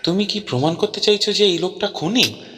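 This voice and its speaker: Man as bright-voiced, earnest detective's companion narrating. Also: high voice, expressive, brisk. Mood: astonished. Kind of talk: dramatic first-person audio story narration. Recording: distant voice in long hall reverb.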